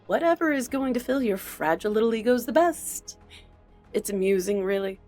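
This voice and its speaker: A smug supervillain played by a woman in an audio drama. She sounds mocking and theatrical, taunting whoever stands against her, at a medium pitch with faint music underneath. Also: expressive, moderate pace, darkish tone, lightly acted. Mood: amused, scornful. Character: smug, mocking female supervillain holding hostages.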